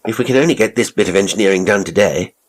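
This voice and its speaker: Measured young Victorian gent, voiced by a male reader: deliberate, formal reasoning, lowish pitch with a raspy edge, storybook dialogue. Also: lightly acted. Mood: keen. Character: Lawley, measured, open-minded young Victorian gentleman. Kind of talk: audiobook character acting.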